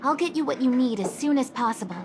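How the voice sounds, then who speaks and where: An actress voicing a careful woman technician who talks in a polite, measured and cautious way, in a video game dub. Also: sits high, animated. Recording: game cutscene with music behind.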